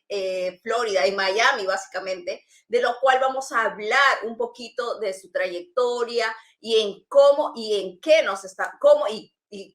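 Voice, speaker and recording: A woman hosts a business talk show in a bright, fairly high voice. Her delivery is friendly and warm, though she restarts phrases and fills pauses with "eh" and "em" as she introduces and questions her guest. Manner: friendly, with hesitant restarts and fillers. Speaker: host.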